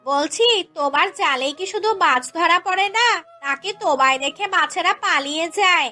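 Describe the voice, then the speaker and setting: A woman voicing a bossy, scolding village wife in a cartoon fairy tale, shrill and insistent. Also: normal speed, bright, forceful tone, soft background music.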